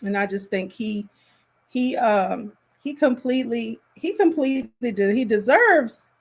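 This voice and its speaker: A woman hosting a casual reaction commentary, speaking in an appalled, emphatic and halting way. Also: fairly high pitch, lively intonation, breathy edge.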